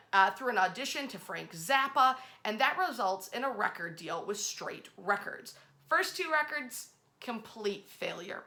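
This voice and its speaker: A woman's voice: a little high, pushed and bright. A woman hosting an informal history storytelling session, speaking in a dramatic, conversational way with emphatic phrasing. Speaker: host, local history storyteller.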